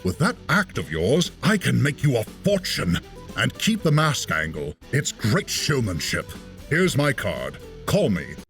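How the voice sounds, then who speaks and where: A man voicing a smooth television producer in a comic dub, with confident sales patter. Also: mid-range voice, huge pitch swings, unhurried, fairly bright tone, soft background music, pitched below the performer's own voice, heavily acted. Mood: scheming, pitching. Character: smooth male television producer, eager promoter.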